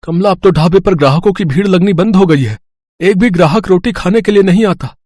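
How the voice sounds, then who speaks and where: A man's voice: sits high, a little fast, darkish tone, breathy edge, dry recording. Poor, kind-hearted eatery owner for a cartoon moral tale, male actor; earnest, plaintive, dry recording. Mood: worried. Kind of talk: dramatised moral story acting.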